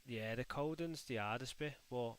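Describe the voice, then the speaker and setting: A man's voice: mid-range voice, normal speed. A man from Liverpool with a Scouse accent, hosting a live stream and chatting casually to viewers while he builds in a game editor, calling them mate and muttering to himself as he works. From Liverpool, England. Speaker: livestream host.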